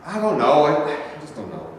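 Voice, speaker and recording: A man's voice: a little high, huge pitch swings, moderate pace, a little hiss or hum. An actor playing a frustrated, hot-tempered young working man, defensive and prone to outbursts, in a staged reading. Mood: restless. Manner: defensive, bursts into shouting. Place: large bare rehearsal studio. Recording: echoey room from a distance.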